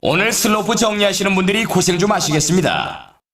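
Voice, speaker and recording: An excitable video game snowboard announcer, high-pitched and swinging widely in intonation. He teases with formal politeness and playful sarcasm. The sound is echoey and set back from the mic. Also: fairly quick, recorded from a distance. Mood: teasing, critical. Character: excitable, teasing male snowboard race announcer. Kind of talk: scripted game sports commentary.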